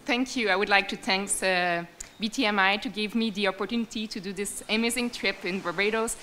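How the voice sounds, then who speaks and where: A woman with a Québécois French accent gives a brief acceptance speech in a bright, clear voice. She hesitates now and then and fills the gaps with "uh". A faint room hum stays in the background. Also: medium pitch. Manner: halting, with filled pauses. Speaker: award winner.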